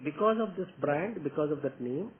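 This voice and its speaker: A man's voice: fairly high pitch, animated, fairly quick. A man with an Indian accent answering questions as a company executive in an investor meeting, explaining point by point and often checking with a short "okay?". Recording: tinny phone-like line with background noise.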